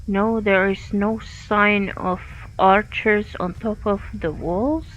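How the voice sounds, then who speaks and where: A Finnish-accented woman streams a game and comments as she plays, halting often with fillers. She speaks slowly. The audio is dull and lo-fi, with a faint hiss underneath. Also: mid-range voice, steady tone, fairly bright tone. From Finland. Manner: hesitant, many fillers, thinking aloud. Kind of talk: live gameplay commentary.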